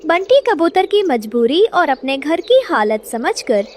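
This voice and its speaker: Woman narrator, high voice, expressive pitch, scripted storytelling, noisy background. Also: unhurried. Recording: clear background noise.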